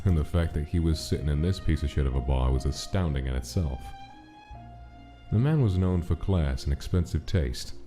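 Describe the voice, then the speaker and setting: A man's voice: rumbling low, lively intonation, normal speed, warm tone, soft background music. A man reading scripted narration of a gritty gangster-era story in a comic dub. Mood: brooding, measured.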